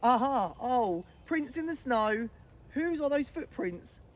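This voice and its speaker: A woman's voice: sits high, lively intonation, takes it slowly, heavily acted. A woman voicing a brave, curious young monster child in a storybook, exclaiming and wondering aloud. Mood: curious, surprised.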